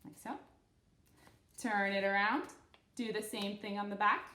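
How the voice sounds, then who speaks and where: A woman's voice: mid-range voice, steady tone, a little slow, sharp tone. A woman in her thirties hosting a children's storytime, explaining things simply and encouragingly as she reads and guides young listeners. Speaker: children's storytime host and craft instructor. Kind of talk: read-aloud story and step-by-step craft instruction for kids. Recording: roomy, echoey room sound.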